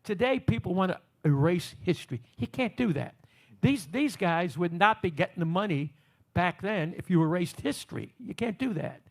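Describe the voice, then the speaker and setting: A man's voice: high voice, lively intonation, moderate pace. A man interviewing veteran singers in a casual chat, talkative and explaining music business history at length. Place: on a cruise ship.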